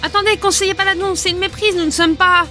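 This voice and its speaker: A man plays a young woman in a fantasy audiobook. The voice is pushed very high, anxious and pleading, with music behind it. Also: steady tone, fast, sharp tone, exaggerated voice.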